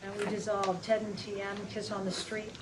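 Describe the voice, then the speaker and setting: Even-toned woman reading stage directions. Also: medium pitch, normal speed. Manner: plain, matter-of-fact. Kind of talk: scripted narration of screen directions. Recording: very noisy live room recording.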